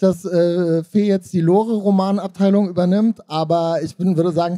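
A man's voice: high-pitched, mellow. A man answering casually as an interview guest, in a hedging, loosely rambling way. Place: stage at a book fair. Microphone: handheld microphone.

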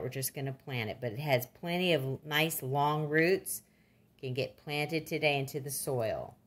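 Older woman, low voice, folksy and plain-spoken, for casual home gardening how-to narration. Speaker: gardening demonstrator.

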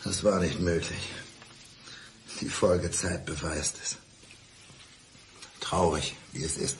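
A man's voice: sits low, fairly even intonation, takes it slowly, a little hiss or hum. An actor playing a bitter, brooding blind divorced man who needles his ex-wife in a radio crime drama. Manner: brooding, sardonic, insistent. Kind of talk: 1990s German radio crime drama acting.

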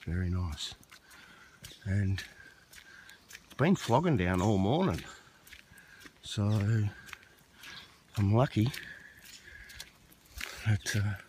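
Man, lowish voice, casual hiking vlogger, slightly puffed, outdoor camera audio with noise. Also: animated, very slow, pauses often, darkish tone. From Australia. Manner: chatty, talking to camera while hiking. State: slightly out of breath from walking. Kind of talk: casual walking vlog. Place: outdoors on a rainforest walking track. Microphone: handheld camera.

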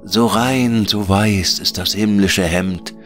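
A man voicing soft, pure lily spirits in a sweet, lilting tone. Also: lowish voice, takes it slowly, faint music behind, voice pushed up. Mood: tender.

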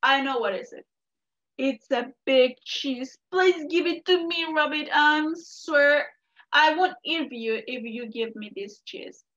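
A woman voicing a hungry, gullible male coyote who whines, pleads and shouts. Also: high-pitched, slow pace, muffled recording. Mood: eager.

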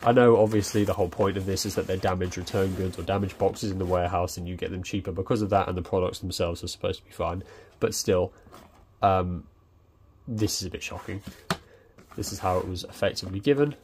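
A young man chats through an unboxing, his voice pitched very low. He hesitates often and restarts his sentences. A faint background noise sits under the recording. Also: steady tone, fairly quick, darkish tone. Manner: hesitant, lots of ums and restarts. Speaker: tech reviewer. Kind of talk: casual unboxing commentary. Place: indoors at a desk.

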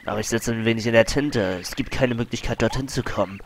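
A man's voice: mid-range voice, fast talker, slight background noise, natural voice. Guy doing a smug boastful explorer from a game, puffed up and drawn out. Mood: pompous. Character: pompous male explorer archaeologist, boastful. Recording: faint game music behind.

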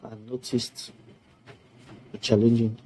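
A middle-aged man from Sierra Leone giving a formal testimonial about a project he completed, speaking in a slow, measured way on a video call. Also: mid-range voice, level tone, mellow. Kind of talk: prepared testimonial speech. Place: indoor room. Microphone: webcam on a video call.

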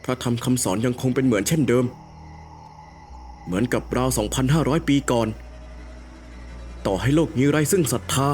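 A man voicing a steadfast, humble Buddhist monk in an animated horror story, formal and earnest, with music behind. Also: mid-range voice, moderate pace, long pauses, slight background noise, natural voice. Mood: resolute. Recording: clear music under the voice.

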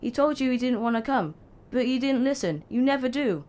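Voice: high voice, lively intonation, a little fast, darkish tone. A performer playing a cheeky, defiant youngest brother, a small schoolboy, in an audio drama. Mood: defensive.

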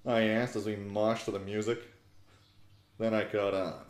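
A man's voice: fairly low pitch, little pitch movement, measured pace. Young man narrating in a roomy space. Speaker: narrator, YouTube host. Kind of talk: theatrical comic fan fiction reading. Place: small living room, indoors. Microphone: desktop USB microphone close by.

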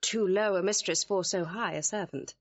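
A woman's voice: medium pitch, moderate pace, slightly rough, dry recording, highs cut off. An actress playing a poised, playful young noble lady, gracious and teasing in classical Shakespearean style. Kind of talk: classical Shakespearean audio drama acting.

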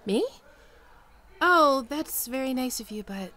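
A woman's voice: sits high, expressive, takes it slowly, bright, forceful tone, full character voice. A woman playing a friendly young female ghoul best friend in an ASMR roleplay, chatty and playful. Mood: friendly, casual. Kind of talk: ASMR roleplay, acted one-sided dialogue.

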